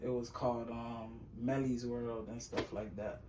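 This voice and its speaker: A young man chats in a loose, rambling way, leaning on slang and fillers. His pitch is ordinary for a man, though he thinks of his voice as high. He sits back from the mic, and a faint hiss runs under the recording. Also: flat delivery, measured pace, distant mic. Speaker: rapper and singer guest. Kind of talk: casual podcast chat.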